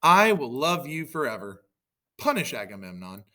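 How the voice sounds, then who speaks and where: A man's voice: big pitch swings, moderate pace, sharp tone. A man voicing an angry goddess mother in a myth, pleading in a declamatory way for her son. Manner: high, imploring, declamatory. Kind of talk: theatrical storytelling of an ancient myth.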